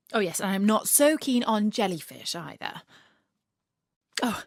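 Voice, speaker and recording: A woman narrating a scripted children's story as storyteller and host, with an animated, theatrical and deliberate delivery. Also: mid-range voice, dry recording, studio quality.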